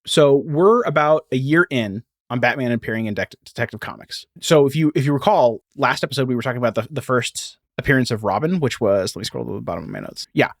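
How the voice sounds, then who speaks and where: A man's voice: mid-range voice, no room sound, studio quality. A man hosting a history podcast, explaining in a conversational way and breaking in with asides. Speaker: podcast host.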